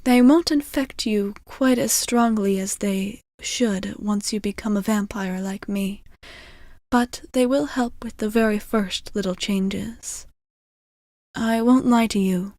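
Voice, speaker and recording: A woman playing a gentle, caring vampire bartender in an intimate ASMR roleplay, soft-spoken and reassuring, often slipping into whispers. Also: medium pitch, takes it slowly, no room sound, clean studio sound. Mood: calm, explaining. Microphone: close ASMR microphone.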